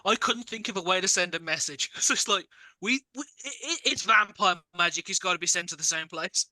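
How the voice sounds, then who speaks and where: A man's voice: high voice, expressive, brisk, bright, forceful tone, no room sound, poor audio. A man from the English Midlands chatting casually as a player in a tabletop roleplaying game.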